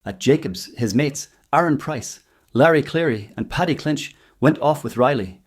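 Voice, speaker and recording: A man's voice: medium pitch, animated, normal speed, slightly rough. A man playing a bitter Irish convict in an audio drama, telling grim news in a grave storytelling way. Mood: grim, urgent.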